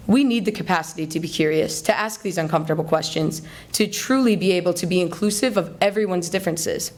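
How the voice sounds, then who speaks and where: Young American woman giving an earnest speech. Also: on the low side. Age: young adult. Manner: earnest, deliberate rhetorical delivery. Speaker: student speech contest finalist. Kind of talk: rehearsed persuasive public speech. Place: college hall, before a live audience.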